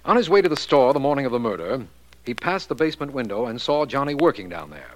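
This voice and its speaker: An actor playing a slightly baffled, easily impressed American district attorney in 1940s radio style, formal, measured and inquisitive. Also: male voice, mid-range voice, sing-song, close to an everyday voice, poor audio. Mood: inquisitive, satisfied. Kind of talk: 1940s radio drama acting.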